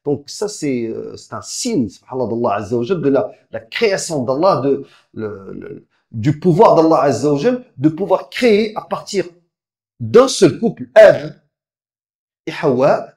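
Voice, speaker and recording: A man giving a religious lecture, explaining step by step, often restarting his sentences and quickly reciting Arabic verses. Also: creaky. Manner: frequent halting restarts, lively swings in pitch and volume. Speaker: preacher.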